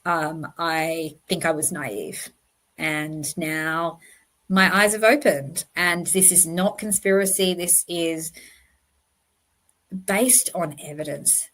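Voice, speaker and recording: Lower-pitched woman with a slight lisp. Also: slow, a bit bright. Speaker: true crime commentary channel host. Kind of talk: informal explanatory monologue.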